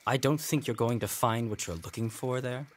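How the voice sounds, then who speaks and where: An actor playing a sarcastic, gruff young male sailor in a period audio drama, teasing and begrudging. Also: mid-range voice, moderate pace, slight background noise, close to an everyday voice. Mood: skeptical, grudging.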